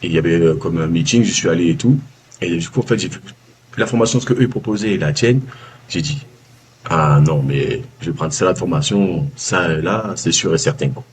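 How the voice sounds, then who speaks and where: A man with a Southern French accent, a former baker giving a casual testimonial, halting with many fillers and restarts. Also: sits low, a little hiss or hum. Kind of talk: casual video-call testimonial. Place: calling from abroad, early morning.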